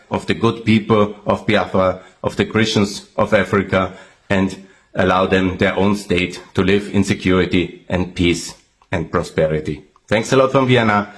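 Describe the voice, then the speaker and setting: A man making an earnest, pleading political appeal, halting with fillers. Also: on the low side. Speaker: political activist.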